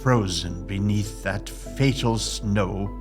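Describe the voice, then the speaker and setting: A melancholy Victorian gentleman, played by a man in a period audio drama. His voice sits fairly low, formal and theatrical, over clearly audible music. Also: takes it slowly. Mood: grieving, bitter. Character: melancholy widowed Victorian gentleman, dutiful brother.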